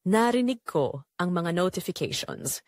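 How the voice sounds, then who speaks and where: A woman's voice: on the low side, animated, a little slow, darkish tone, no room sound, clean studio sound. A woman reading scripted first-person narration for a dramatic audio story.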